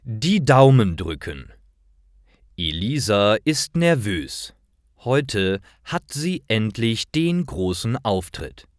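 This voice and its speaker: A man reading simple stories as a narrator for language learners, in a deliberate and expressive storytelling style. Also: lowish voice, no room sound, clean studio sound. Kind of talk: scripted storytelling narration, read slowly for learners.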